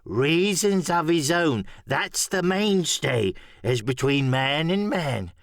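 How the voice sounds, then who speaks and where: Crazy old marooned pirate for an audiobook, high voice all over the place, sly, nervy, rambly sailor talk. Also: male voice, expressive, takes it slowly, vocal fry, no room sound, studio quality, heavily acted. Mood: anxious, pleading. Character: wily, anxious, half-crazed old marooned pirate castaway. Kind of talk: audiobook radio play acting.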